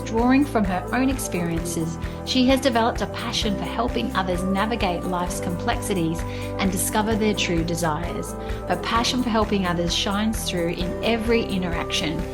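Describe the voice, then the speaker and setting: Upbeat Australian woman podcast host. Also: mid-range voice. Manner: upbeat and enthusiastic, with some filler words in conversation. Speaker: podcast host and life coach. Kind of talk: scripted podcast intro, then conversational interview.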